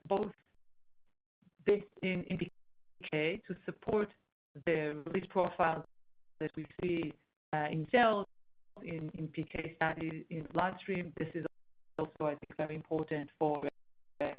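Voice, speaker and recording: A woman executive answering on an earnings call, explaining in a halting way as she searches for words. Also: lowish voice, steady tone. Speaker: company executive. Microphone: conference call line. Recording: dull, lo-fi conference call line.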